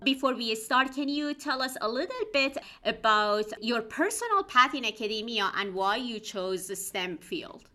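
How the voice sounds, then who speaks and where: A woman hosting an academic podcast, reading a prepared introduction and asking interview questions in a clear, measured way. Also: fairly high pitch, animated, pushed and bright. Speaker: podcast host, assistant professor.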